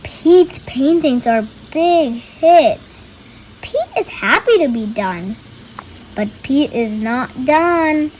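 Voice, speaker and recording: A woman's voice: high voice, lively intonation, drawn-out words, background noise. A young girl reading a picture book aloud and explaining the pictures. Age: child.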